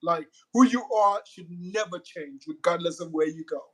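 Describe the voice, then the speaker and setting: A man's voice: high voice, normal speed, fairly bright tone, husky. A middle-aged man from West Africa with a West African accent, speaking emphatically as an interview guest and often repeating words while he presses a point. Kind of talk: candid conversational interview.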